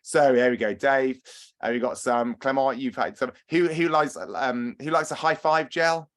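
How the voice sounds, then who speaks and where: A man's voice: medium pitch, normal speed, a bit bright. A man coaching runners in an upbeat, chatty webinar, often asking his audience questions. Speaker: running coach. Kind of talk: webinar talk and Q&A.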